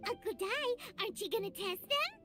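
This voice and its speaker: Tiny childlike game mascot fairy: very high, lively, bossy, squeaky. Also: female voice, normal speed, full character voice. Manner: blunt, speaks of self in third person. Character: tiny childlike floating fairy sidekick, cheeky and self-assured. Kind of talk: animated game character dialogue. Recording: game music behind.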